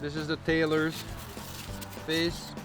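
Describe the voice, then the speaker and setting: A man's voice: on the high side, monotone, slow. A man working as a sand sculptor, talking casually and reflectively about his work. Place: outdoors. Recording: loud music, outdoor traffic sounds.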